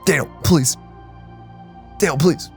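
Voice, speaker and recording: A woman's voice: low voice, takes it slowly, creaky, a little mellow, soft background music. A woman giving casual, playful let's play commentary, reacting as the game goes. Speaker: gaming channel co-host.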